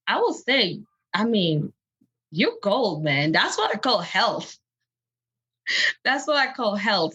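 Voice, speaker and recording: A woman's voice: medium pitch, sharp tone. A woman from Benin, French-speaking by birth, with a West African French accent, speaking earnestly as a coaching guest on a podcast interview. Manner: earnest, encouraging, light laughs.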